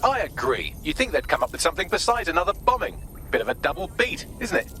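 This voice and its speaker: A brisk, sardonic AI helper from a game. He talks fast and glibly, with a highish pitch that swoops around in dry, wordy wit. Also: big pitch swings, bright, forceful tone, slight background noise, heavily acted. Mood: dryly sardonic. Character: brisk, sardonic male artificial intelligence assistant. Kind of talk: scripted video game dialogue.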